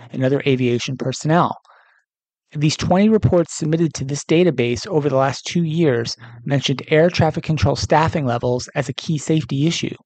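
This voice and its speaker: A man's voice: on the high side, darkish tone, no room sound. An American man hosting a podcast, reading a scripted news report. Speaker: podcast host.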